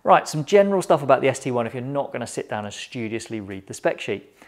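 A middle-aged British man presents a product review to camera in a measured, matter-of-fact way, recorded on a lapel mic in a small indoor studio. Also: mid-range voice, sing-song, soft background music.

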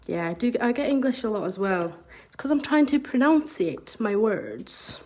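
A woman's voice: a little high, sing-song, moderate pace, darkish tone. A woman from Scotland with a mild Scottish accent, a nail artist giving a live tutorial and chatting to viewers as she works, recorded on a phone over her desk. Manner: chatty, reads out viewer comments while working. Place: indoor nail studio desk. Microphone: phone camera over the work table.

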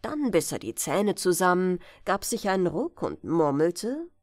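A woman's voice: on the low side, sing-song, measured pace, no room sound, studio quality. A woman narrating a bedtime fairy tale as an audiobook reader.

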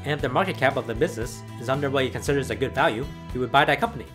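Brief: man, channel narrator; brisk explanatory voice-over, faint music bed. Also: mid-range voice. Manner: plain explanatory delivery. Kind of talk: scripted voice-over commentary. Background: background music.

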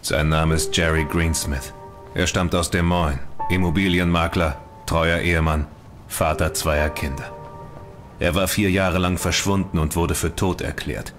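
A grim investigator with an extremely deep male voice. He reports in terse, grave facts, in radio play style. Music is clearly heard behind him. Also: fairly even intonation, unhurried. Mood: grim, factual. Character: grim man investigator reporting a missing man's history. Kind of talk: audio drama dialogue.

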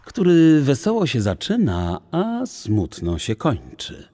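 A man narrating a children's audiobook in a literary, theatrical, deliberate storytelling manner. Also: mid-range voice, huge pitch swings, warm tone, no echo. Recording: music under the voice. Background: music.

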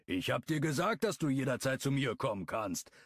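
A man's voice: medium pitch, very animated, moderate pace, no room sound, clean studio sound, voice pushed up. A man voicing a big, tattooed, bearded friend who speaks earnestly and insistently in an audiobook.

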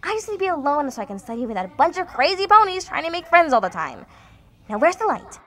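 A woman's voice: sits high, very animated, fast talker, a bit bright. A woman voicing an anxious, bookish, sarcastic young pony in a cartoon fandub. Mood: flustered. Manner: fretful and sarcastic.

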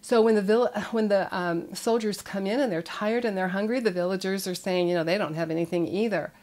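A woman's voice: mid-range voice, brisk, a little mellow. An older woman hosting a parenting show, talking to camera in a friendly storytelling way, indoors in front of shelves of children's books.

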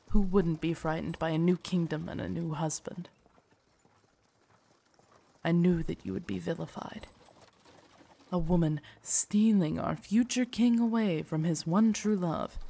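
A woman playing a loyal, protective female knight in an audio drama, formal, deferential and earnest, with a husky voice. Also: on the low side, mellow. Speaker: voice actor in audio roleplay. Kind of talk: acted immersive audio drama monologue.

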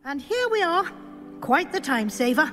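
A stern, brisk professor, crisp and businesslike, her fairly high voice riding over clear orchestral music in a game cutscene. She keeps things moving with clipped, no-nonsense authority. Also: very animated, a bit bright. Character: brisk older female professor, stern and proper.

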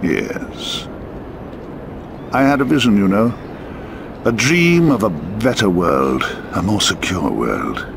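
An actor playing a weary, urbane old spymaster in a video game, musing theatrically and cryptically in drawn-out phrases. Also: male voice, lowish voice, sing-song, creaky, background noise, heavily acted. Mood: wistful. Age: older. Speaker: mysterious spymaster. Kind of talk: video game cutscene dialogue and narration.

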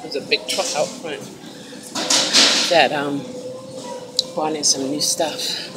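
A woman's voice: deep voice, fairly even intonation, slow pace. A woman in her forties filming a casual workout vlog on her phone in a gym, chatting and encouraging her viewers in an easygoing way. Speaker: vlogger. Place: indoor gym, large room. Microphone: phone camera at arm's length, wired earbuds. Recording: loud gym noise behind.

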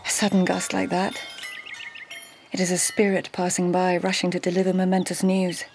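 An actress in a radio drama playing a melancholy, wry lady-in-waiting poet of old Japan, speaking in a measured, poetic way with a creaky voice. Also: lowish voice, monotone, darkish tone, slight background noise, close to an everyday voice. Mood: wistful. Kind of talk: BBC radio drama acting.

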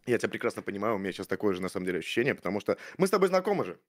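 A man in his thirties talks in a quick, animated way, his pitch moving a lot. He keeps stumbling into fillers while playing the gallant suitor. He sits at a studio mic in a dim room lit in purple. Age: 30s. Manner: hesitant, full of fillers, mock-gallant. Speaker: show contestant flirting with a guest. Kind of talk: playful mock-romantic chat on a stream. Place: streaming room with purple lights. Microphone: studio mic on arm, headphones.

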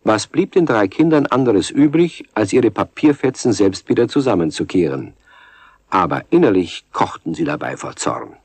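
Low, husky, animated male storyteller. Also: moderate pace, dry recording. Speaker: narrator. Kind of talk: scripted storytelling for a children's radio play.

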